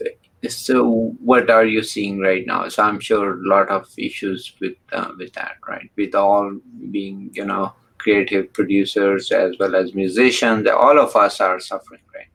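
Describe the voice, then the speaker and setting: Male host, low voice, gravelly, halting, lots of fillers. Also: level tone, fairly bright tone, low-quality recording. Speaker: interview host. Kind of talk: casual interview chat.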